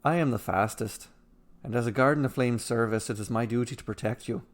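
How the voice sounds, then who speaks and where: A man voicing a proud, dutiful soldier in a fantasy story, speaking in an earnest, brisk manner. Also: medium pitch, close to an everyday voice. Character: proud, dutiful fast-running male guard soldier.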